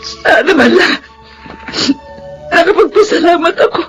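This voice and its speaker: Middle-aged widow, wistful, emotional, animated intonation, radio drama, music underneath. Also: female voice, extremely high pitch, a little slow, heavily acted. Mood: grieving, regretful. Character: grieving middle-aged Filipina widow and devoted mother.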